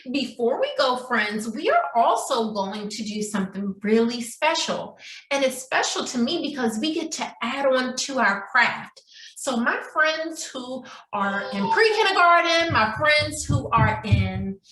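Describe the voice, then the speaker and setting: High, animated woman teaching young children. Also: measured pace. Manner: addresses young children, frequent checking questions. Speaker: preschool story time teacher. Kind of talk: children's craft and writing lesson. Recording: roomy echo, distant microphone.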